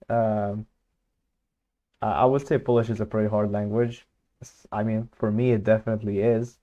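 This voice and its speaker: A man's voice: on the low side, warm tone, muffled recording. A young man whose first language is Hungarian, chatting casually on a livestream and thinking aloud, hesitant with many fillers and restarts. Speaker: streamer.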